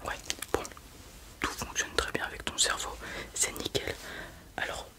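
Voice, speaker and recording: Slow, soft unvoiced whisperer. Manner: gentle praise after each answer. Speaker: ASMR creator playing an examiner. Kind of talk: whispered ASMR roleplay exam. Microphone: close mic with left and right ear whispering.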